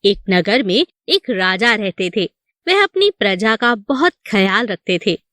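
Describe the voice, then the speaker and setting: A woman's voice: medium pitch, very animated, unhurried, a bit bright, no echo, clean studio sound. A woman narrating a scripted moral story for children.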